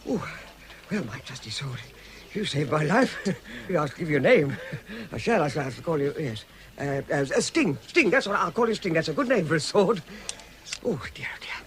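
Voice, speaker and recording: An actor playing a timid, fretful hobbit in a radio fantasy drama, stammering and talking to himself. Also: male voice, fairly high pitch, big pitch swings, normal speed, fairly bright tone. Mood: relieved, musing. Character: timid, fretful hobbit finding sudden courage. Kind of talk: full-cast radio fantasy acting.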